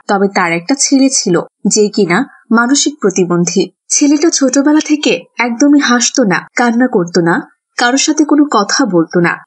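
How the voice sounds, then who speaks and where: A woman's voice: fairly high pitch, husky. Female narrator for a kids' cartoon, telling a scripted tale. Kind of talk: scripted children's story narration.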